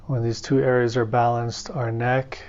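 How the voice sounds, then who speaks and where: A man leads a guided meditation as a teacher, speaking gently and without hurry. His mid-pitched voice stays level, with very little rise and fall. The recording is slightly dull and lo-fi. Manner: calm, gentle, unhurried. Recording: dull, muffled lo-fi audio.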